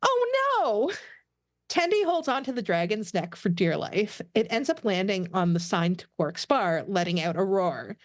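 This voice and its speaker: Woman narrating a script, lively intonation. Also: medium pitch, moderate pace, few pauses, dry recording, studio quality. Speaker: narrator of a podcast table read. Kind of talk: scripted narration, reading stage directions aloud.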